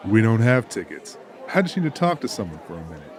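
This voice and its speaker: A man's voice: on the low side, very animated, quick pace, a faint crowd. An actor playing an exasperated, wisecracking everyman in an audiobook, with dry, scolding banter. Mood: weary. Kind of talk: audiobook character acting.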